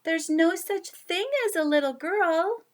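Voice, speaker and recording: A woman's voice: very high voice, a little slow, pitched above the performer's own voice. A woman voicing a firm, weary monster mother insisting that her child go to sleep in a storybook. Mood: shouting, insistent.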